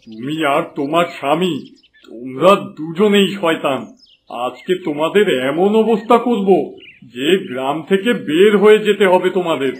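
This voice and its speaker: A man's voice: a little high, expressive, normal speed, a little hiss or hum, close to an everyday voice. An actor voicing a stern, commanding village headman in a cartoon, scolding with authority. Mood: angry, threatening.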